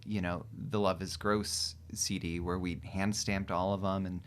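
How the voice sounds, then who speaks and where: A man, a musician guest in a casual interview, who talks haltingly, with many fillers and repeated words. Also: low voice, little pitch movement.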